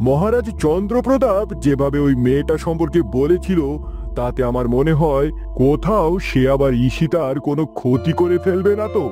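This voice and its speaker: A man's voice: fairly high pitch, animated, normal speed, warm tone, soft background music, lightly acted. A man playing a brooding, protective king in a dramatic, commanding cartoon style. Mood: grave, commanding. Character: brooding, protective king haunted by losing his daughter.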